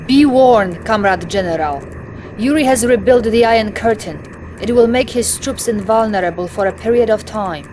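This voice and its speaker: An actress playing a loyal, composed Soviet intelligence lieutenant with a Russian accent, briefing dutifully in a game cutscene. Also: female voice, mid-range voice, normal speed, a little mellow, a little hiss or hum, close to an everyday voice. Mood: warning.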